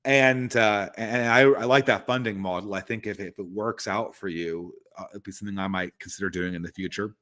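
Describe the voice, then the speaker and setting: A male host with a low voice talks through a casual live stream from home. He rambles, circling back with many false starts. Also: steady tone, fairly quick, bright, forceful tone.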